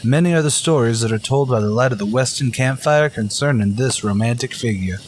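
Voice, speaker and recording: A man's voice: mid-range voice, fairly quick. Casting: male narrator for old-fashioned western radio serial; mid-range voice, solemn and grand, faint noise behind. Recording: faint background noise, ambience.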